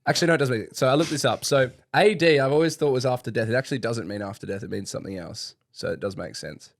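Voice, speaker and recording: A man's voice: mid-range voice, fast, dry recording, clean studio sound. A man co-hosting a podcast in casual chat, joking and laughing as he reads out trivia. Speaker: podcast co-host.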